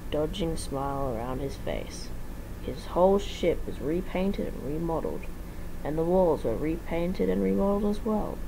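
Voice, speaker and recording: A woman's voice: on the low side, background noise. A woman giving an amateur dramatic reading of a fan-written story as its narrator.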